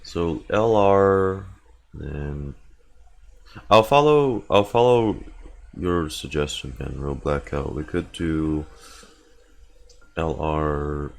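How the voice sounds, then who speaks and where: Male game streamer, very low voice, slow delivery, casual rambling think-aloud chat. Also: creaky, slight background noise. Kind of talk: casual livestream chat while gaming.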